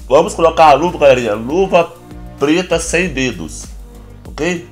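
A Brazilian man with a hoarse, congested voice, chatting casually through a game tutorial as a YouTuber. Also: on the high side, moderate pace, faint music behind. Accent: Brazilian Portuguese. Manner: relaxed, chatty, constant filler address to viewers. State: hoarse, stuffy nose and cough. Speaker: gaming YouTuber.

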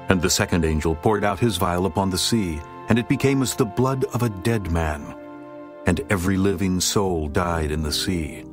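A man narrating scripture in a slow, solemn, stately reading over music. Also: low-pitched.

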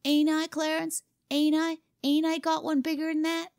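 A woman's voice: high voice, flat delivery, normal speed, darkish tone, no echo, clean studio sound, voice pushed up. A woman voicing a boastful, pompous small boy in a storybook, insistent and given to repeating himself. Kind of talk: storybook character reading.

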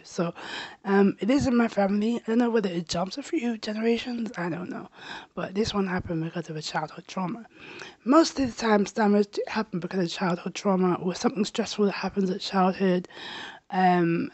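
A woman in her thirties talks to camera in a small bedroom, holding a tiny lapel mic close to her mouth. Her voice is slightly raspy and she stammers, repeating and restarting words. The sound is dry and a little dull. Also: mid-range voice, a little mellow, dry recording. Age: 30s. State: recovering from a sore throat. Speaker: travel vlogger. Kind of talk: casual personal talk to camera. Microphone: handheld lapel mic held near the mouth.

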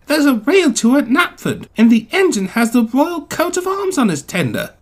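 An excitable young storybook tank engine, acted out by a man, pitched far up and swooping with eagerness. He exclaims and pleads cheekily, over clear background music. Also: moderate pace, heavily acted. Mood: urgent pleading.

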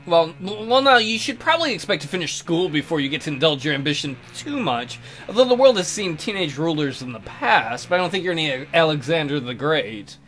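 A man's voice: a little high, sing-song, brisk, few pauses, a bit bright, faint music behind. A man playing a flustered, evasive private tutor in a visual novel, hesitant and placating. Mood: bemused, indulgent.

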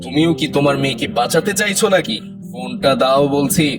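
A man's voice: on the high side, expressive, fast, music in the background, close to an everyday voice. A man playing a stern, indignant, pious middle-aged father with a dramatic scolding manner in a moral cartoon. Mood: stern, angry.

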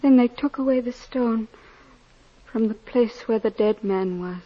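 A woman's voice: fairly high pitch, a little slow. An actress playing a devout, loving, steadfast young woman in an old-time radio drama, speaking with solemn earnestness. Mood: solemn, reverent. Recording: muffled, noisy old radio recording.

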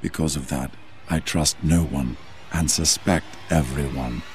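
A man voicing a proud, stern alien warrior mentor in a video game, haughty, formal and deliberate. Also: extremely low pitch. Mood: grave, suspicious. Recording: loud music underneath.

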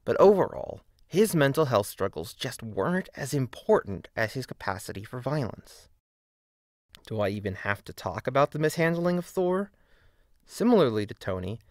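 A man's voice: medium pitch, dry recording, clean studio sound. A man narrating a scripted video essay in a critical, emphatic, argumentative way.

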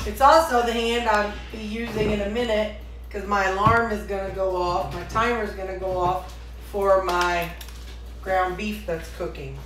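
A woman's voice: mid-range voice, fairly even intonation, normal speed, a bit bright. A woman vlogging as a home cook, chatting casually in a rambling, folksy way while she demonstrates in her kitchen. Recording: roomy, echoey kitchen sound.

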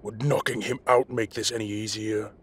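A man playing a commanding, impatient but protective half-dragon mafia boss in an audio roleplay, with a rough voice and a bossy, scolding manner. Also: fairly low pitch, fairly even intonation, moderate pace. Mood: tense.

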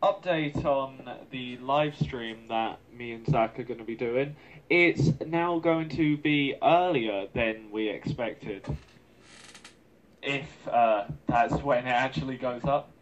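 Guy guesting on a vlog, slow halting talk, muffled lo-fi sound. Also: medium pitch. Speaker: guest, streamer. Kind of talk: casual announcement chat. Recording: dull lo-fi remote voice audio.

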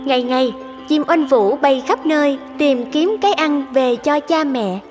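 A woman reading scripted storybook narration as the narrator of an animated folk tale. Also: high-pitched, unhurried. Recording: music behind the narration.

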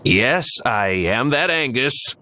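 An actor playing a chummy, self-important baker in a video game, hearty and showy. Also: male voice, mid-range voice, animated, slow pace, bright, forceful tone, slight background noise. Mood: proud, jolly. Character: chummy, self-important male baker, proud minor celebrity.

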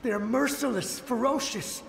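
A man's voice: extremely high pitch, moderate pace, gravelly, a little hiss or hum, exaggerated voice. A man voicing a frightened, fiercely loyal samurai ghost in a video game, with urgent, pleading dramatic delivery. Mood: frantic, fearful. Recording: echoey long reverb, music behind.

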